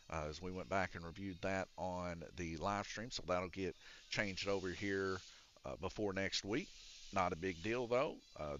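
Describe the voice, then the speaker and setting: A man with a raspy, congested voice casually announcing an RC race at an indoor raceway, rambling through rules with lots of "uh" fillers. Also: low voice, a bit bright, lo-fi sound, a little hiss or hum. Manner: rambling and explanatory, with many "uh" fillers. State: congested from a chest cold. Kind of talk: casual live-stream commentary. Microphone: wired or wireless handheld mic.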